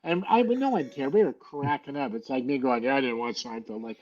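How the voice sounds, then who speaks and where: A man's voice: mid-range voice, a little fast, a little mellow, muffled recording. A man, a musician co-hosting, chatting in a rambling, joking way. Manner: rambling, jokey. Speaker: musician co-host. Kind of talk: casual chat.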